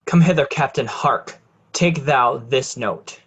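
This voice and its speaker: A man playing a coldly ambitious, scheming nobleman in a Shakespeare reading, measured and commanding. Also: mid-range voice, fairly bright tone, husky, heavily acted. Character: cold, ambitious, scheming male villain nobleman. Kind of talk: Shakespearean verse read in reader's theatre.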